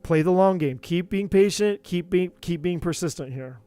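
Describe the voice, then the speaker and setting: A man doing a live tarot reading, talking in an earnest, encouraging way with many repeats, his voice going a bit hoarse. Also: high-pitched, moderate pace, mellow, dry recording, faint music behind. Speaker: tarot reader.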